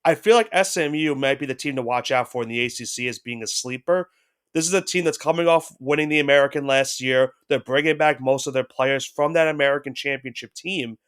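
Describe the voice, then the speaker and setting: A man's voice: medium pitch, brisk, fairly bright tone, clear, resonant tone. A man co-hosting a sports podcast with a head cold, talking casually and loosely, with frequent restarts. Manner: rambling, with restarts and hedges. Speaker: podcast co-host.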